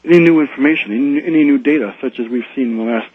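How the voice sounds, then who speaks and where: Man, radio guest on the phone, husky voice, halting, lots of ums, tinny dry line. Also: medium pitch, dry recording. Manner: halting, with many ums and repeated words. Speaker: guest author. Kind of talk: explaining ideas on a radio show.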